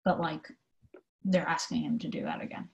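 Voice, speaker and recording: A woman answering interview questions in an informal, conversational way, explaining her work thoughtfully with pauses. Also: low-pitched, very animated, creaky, muffled recording. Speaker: theatre company manager being interviewed.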